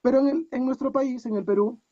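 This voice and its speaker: High-pitched Peruvian man, muffled call. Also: fairly quick, mellow, no echo. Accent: Peruvian Spanish. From Peru. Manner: reflective, many rhetorical questions and restarts. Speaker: guest coach. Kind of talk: casual interview talk. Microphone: video call.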